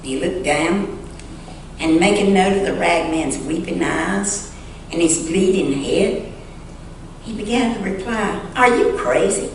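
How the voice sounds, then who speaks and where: A woman reading a story aloud dramatically and earnestly, with deliberate pauses, in a church sanctuary. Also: fairly low pitch. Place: large indoor church sanctuary. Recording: echoey church sanctuary, distant mic, faint noise.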